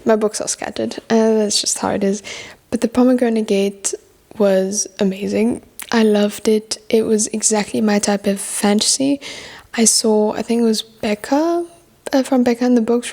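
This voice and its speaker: A young woman talks through her year of books in a loose, chatty way, often restarting sentences as she thinks aloud. Her mid-range voice carries a gravelly edge. Her first language is French. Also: medium pitch, darkish tone. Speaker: book vlogger. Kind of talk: casual book review chat.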